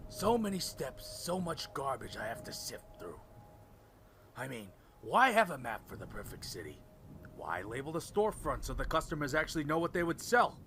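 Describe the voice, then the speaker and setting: Man playing a bitter, animated young fugitive. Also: medium pitch, normal speed, a little hiss or hum. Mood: frustrated. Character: bitter, desperate young man on the run in a post-apocalyptic world. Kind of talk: audio drama acting. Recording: music behind the voice.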